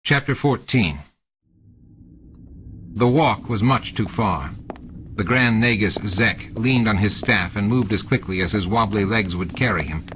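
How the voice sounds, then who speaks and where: A man's voice: fairly low pitch, fairly bright tone, muffled recording. A man reading scripted story narration as an audiobook narrator. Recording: noisy audiobook with added sound effects. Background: added sound effects and ambience.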